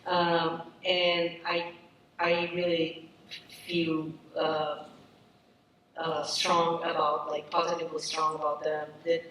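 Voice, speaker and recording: A woman's voice: deep voice, completely flat delivery, slow, fairly bright tone. A woman from Brazil with a Brazilian accent, speaking earnestly as a panelist, with many restarts and fillers. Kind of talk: panel discussion. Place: stage at a summit. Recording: echoey hall, distant mic, noisy.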